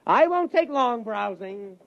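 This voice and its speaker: A man's voice: extremely high pitch, expressive, a little slow, vocal fry, exaggerated voice. An actor playing a sly, glib male painter feigning helpfulness in a 1950s radio drama. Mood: sly, eager. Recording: muffled vintage radio recording.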